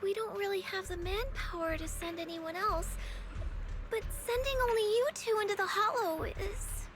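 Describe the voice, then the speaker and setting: A woman's voice: very high-pitched, normal speed, background noise. A woman voicing an earnest, worried young female disciple in a game. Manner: earnest, concerned. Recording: game audio under loud music.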